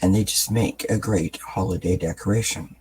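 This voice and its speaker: A man with a raspy, just-woken-up voice, giving a gentle daily tarot reading in a soft-spoken, relaxed way with lots of fillers. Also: deep voice, moderate pace. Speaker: tarot reader. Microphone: camera mic, above-view camera.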